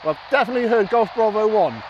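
High-pitched man chatting outdoors. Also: animated, quick pace, dull audio. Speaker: amateur radio vlogger. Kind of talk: casual explanatory chat to camera. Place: outdoors on an open hilltop beside a road. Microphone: camera mic at about arm's length or more. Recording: noisy outdoor recording with passing traffic.

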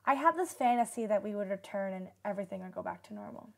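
A woman's voice: mid-range voice, huge pitch swings, fast talker, a little mellow. A young woman playing a solemn nineteen-year-old disaster survivor giving testimony in a radio drama. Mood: somber, reflective. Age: young adult.

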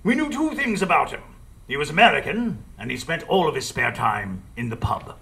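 An actor playing an earnest, formal gentleman sidekick, narrating theatrically in a radio play. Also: fairly high pitch, big pitch swings, normal speed, full character voice.

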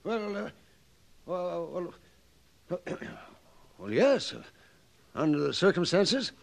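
High, halting frontier judge. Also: male voice, expressive, creaky, full character voice. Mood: flustered. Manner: halting, hemming and hawing. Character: hesitant, flustered small-town frontier judge. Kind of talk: old-time radio western acting.

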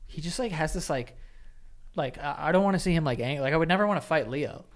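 A man's voice: on the high side, animated, fast talker. A young man co-hosting a podcast in casual chat, rambling and laughing, wearing headphones at a desk mic in a small studio. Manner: rambling, laughing, hedging with fillers. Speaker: podcast co-host. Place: small studio room, indoors.

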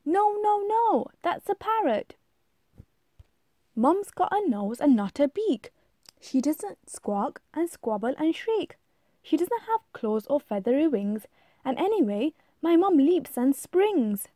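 A woman's voice: high-pitched, very animated, mellow, dry recording, studio quality, pitched above the performer's own voice, full character voice. A woman voicing a fretful, insistent young monkey in a rhyming storybook, plaintive and emphatic. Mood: protesting. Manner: plaintive, emphatic, drawn-out protests. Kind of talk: rhyming storybook read-aloud.

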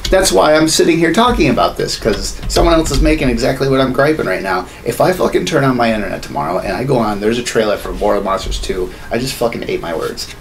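A man's voice: medium pitch, animated, quick pace, hardly any pauses. A man in his thirties ranting casually and profanely as a podcast co-host in a small room. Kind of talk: casual opinionated chat about games and movies. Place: small home studio room, indoors. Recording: clearly noisy room recording.

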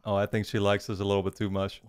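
A man's voice: on the low side, monotone, quick pace. A man in his twenties giving casual reaction commentary in informal, slangy speech, thinking aloud, close on a studio mic. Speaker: anime reaction YouTuber. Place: small furnished room, indoors. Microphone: studio mic on a boom arm, close.

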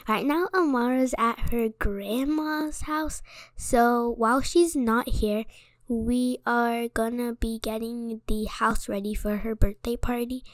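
A woman's voice: a little high, steady tone, slow pace, dry recording. A woman casually narrating and acting out a homemade toy-world game story, with simple, hesitant phrasing. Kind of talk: casual acted play narration.

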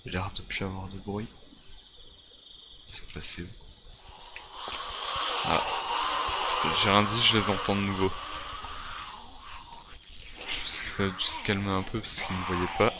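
Male gamer, lowish voice, hesitant in-character survival roleplay commentary, repeats himself, very noisy recording. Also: little pitch movement, a little slow, long pauses. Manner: hesitant, repetitive, thinking aloud. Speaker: gaming video creator playing a survival roleplay. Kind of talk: improvised in-character gameplay commentary. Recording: clearly noisy gameplay recording.

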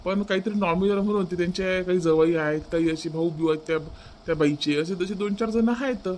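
Man acting a high-voiced coaxing village father. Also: a little fast, darkish tone, a little hiss or hum, natural voice. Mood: satisfied, planning. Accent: Varhadi Marathi. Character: middle-aged village father, coaxing, practical, concerned. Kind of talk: comic family cartoon dubbing.

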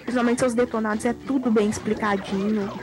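A young woman talks with viewers in a chatty, playful way during a gameplay video. Her voice sits high, and she speaks to the audience directly. Video game music is clearly heard behind her. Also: steady tone, brisk. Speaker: guest co-player. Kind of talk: casual chat over gameplay.

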